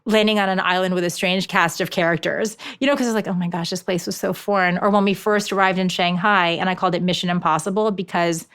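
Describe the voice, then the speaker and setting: Talkative woman, lower voice, lively stories. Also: fast, hardly any pauses, smooth. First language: Japanese. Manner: animated storytelling with restarts. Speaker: author and education consultant, guest. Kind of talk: conversational interview.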